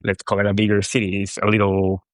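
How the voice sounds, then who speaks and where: A man in his thirties from Costa Rica, a brand co-founder talking about himself in a relaxed, rambling way. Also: on the low side, fast, dry recording. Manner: relaxed, rambling, with fillers and restarts. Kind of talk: casual interview talk.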